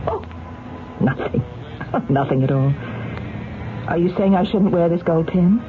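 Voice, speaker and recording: A woman's voice: lowish voice, animated, unhurried, faint music behind. An actress in a 1970s radio drama playing an anxious, sleepless woman haunted during a trial, speaking in a nervous, hesitant way. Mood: uneasy, evasive. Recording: muffled, phone-like old radio broadcast.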